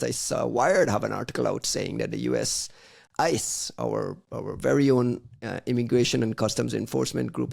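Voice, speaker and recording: A man's voice: mid-range voice, creaky, a little mellow. A man hosting a podcast, steering the talk in a wry, teasing way. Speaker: podcast host. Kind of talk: casual chat.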